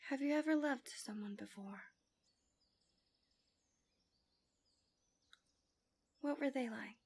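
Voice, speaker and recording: A tender but manipulative villainess acted by a woman speaks in an intimate ASMR roleplay. Her voice sits a little high and stays gentle and breathy, often sinking into a whisper. She coaxes and soothes like a possessive, seductive captor. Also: brisk. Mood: soft sympathy.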